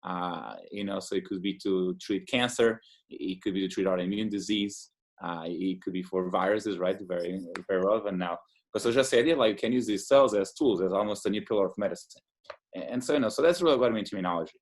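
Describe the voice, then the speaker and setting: A man's voice: deep voice, fast talker. A man from Portugal, an immunologist in his thirties, talking enthusiastically in a casual interview with many fillers and restarts. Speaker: immunologist guest.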